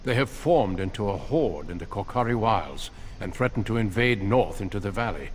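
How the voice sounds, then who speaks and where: An actor playing a grave, courteous warrior recruiter in a fantasy game, speaking formally and earnestly. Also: male voice, fairly low pitch, animated, moderate pace, slight background noise, close to an everyday voice. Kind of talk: fantasy video game voice acting.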